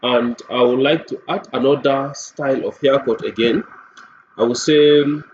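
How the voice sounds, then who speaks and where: A man giving an unscripted screen-share software tutorial, explaining step by step and repeating points for emphasis. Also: mid-range voice, normal speed. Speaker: software demo presenter. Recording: muffled lo-fi audio, clear background noise.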